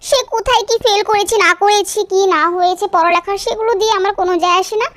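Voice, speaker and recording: A very high, girlish cartoon voice put on by a woman for a vain bride of eighteen. She is smug, haughty and quick to boast. The sound is close and dry. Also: steady tone, fairly bright tone, dry recording. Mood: smug, boastful. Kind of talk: cartoon voice acting.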